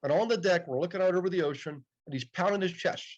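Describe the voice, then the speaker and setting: Man in his fifties, fairly high voice, chatty storyteller, dull muffled recording. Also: fast. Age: 50s. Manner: chatty, repeats "yep" often. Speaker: podcast guest. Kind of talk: casual storytelling interview.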